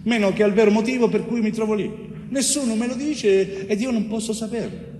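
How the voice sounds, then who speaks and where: A man's voice: sits high, brisk, mellow, lightly acted. A male actor playing an innocent eighteen-year-old bricklayer, telling his story to a judge in short, pleading phrases. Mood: bewildered, pleading. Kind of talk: theatrical monologue narration. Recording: distant, echoey theatre, faint noise.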